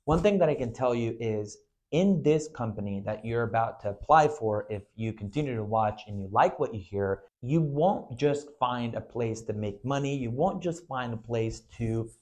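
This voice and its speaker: A man's voice: medium pitch, animated, moderate pace, darkish tone. A man from Venezuela in his twenties, an agency owner speaking earnestly in a recruitment video, with frequent "you know" and "right" fillers.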